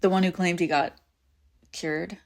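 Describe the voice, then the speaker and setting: A woman's voice: fairly low pitch, steady tone, a little slow. Woman acting a clingy, emotional apocalypse survivor friend. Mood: fond reminiscing. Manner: emotional, pleading, swinging between insistent and hushed. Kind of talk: scripted audio roleplay acting, intimate and conversational.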